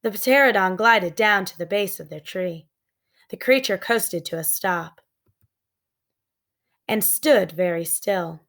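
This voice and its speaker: A woman reading a children's story aloud as expressive narration, with measured, suspenseful phrasing. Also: medium pitch. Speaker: storybook reader.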